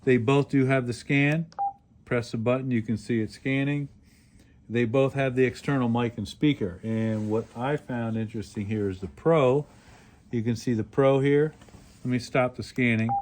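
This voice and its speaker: A man's voice: mid-range voice, steady tone, moderate pace, darkish tone. A man giving a casual hands-on product review as a video host, explaining features plainly and step by step as he handles the gear. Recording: clearly noisy home-made recording.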